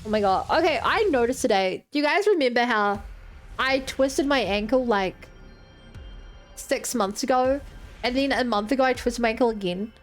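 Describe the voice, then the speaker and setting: A woman's voice: fairly high pitch, moderate pace. Rambling young woman streamer, game music behind. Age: 20s. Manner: rambling, joking, talks to chat. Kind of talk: casual gameplay commentary.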